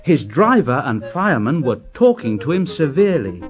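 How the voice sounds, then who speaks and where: A man's voice: medium pitch, very animated, normal speed. A man reading a scripted children's story as its narrator. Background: music.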